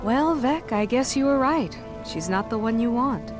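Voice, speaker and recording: A woman's voice: fairly high pitch, expressive, moderate pace, over music, lightly acted. A woman playing a cool, shrewd adventurer in a fantasy video game, with a wry, needling manner. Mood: sly, goading. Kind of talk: video game cutscene acting.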